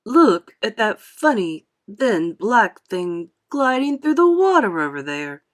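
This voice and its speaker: A woman's voice: mid-range voice, sing-song, slow pace. A woman voicing a brash, bluff male centipede with an exclamatory manner in a storybook read-aloud. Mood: excited.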